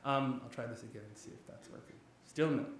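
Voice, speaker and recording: Man from Nigeria, economics lecturer, mid-pitched, chatty and animated, booming hall echo, faint hiss. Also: brisk. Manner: energetic, talkative, with frequent fillers and restarts. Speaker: economics professor giving a guest lecture. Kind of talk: public lecture. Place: large echoey church hall. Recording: very echoey hall, faint background noise.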